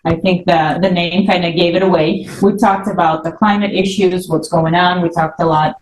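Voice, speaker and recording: A woman heard from away from the mic in a roomy space. Her voice is lowish and fairly even as she gives an informal panel recap. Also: normal speed, slight background noise. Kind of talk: informal recap on an online discussion. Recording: roomy, distant microphone.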